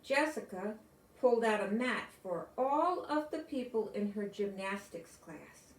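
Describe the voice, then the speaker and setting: An older woman with an American accent reading a children's story aloud, deliberately and explaining things as if to young listeners. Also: medium pitch, very animated. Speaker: storybook reader. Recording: roomy echo, distant from microphone.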